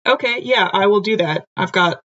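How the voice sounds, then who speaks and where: A woman's voice: medium pitch, normal speed, a bit bright. A woman playing a tabletop game, chatting casually and asking about the rules. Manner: casual, asks rules questions. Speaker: player. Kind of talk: tabletop roleplay chat. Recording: dull lo-fi mic.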